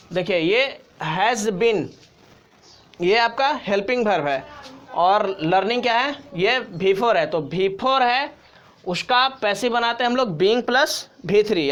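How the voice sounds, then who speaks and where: A man's voice: high-pitched, a bit bright. A man with an Indian accent teaching English grammar step by step, repeating key points in an instructional way. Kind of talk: step-by-step tutorial explanation.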